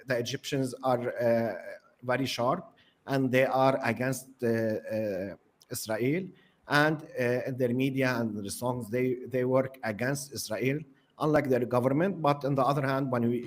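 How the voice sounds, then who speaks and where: A man whose native language is Arabic speaks as a guest commentator on a talk panel. His delivery is hesitant, full of fillers and false starts, and his pitch is mid-range. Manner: hesitant, many fillers and restarts. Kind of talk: casual panel discussion.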